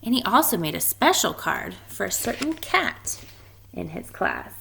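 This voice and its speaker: A woman reading a children's picture book aloud in an expressive storytelling style. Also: mid-range voice, measured pace, creaky, slight background noise, rough recording. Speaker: story reader.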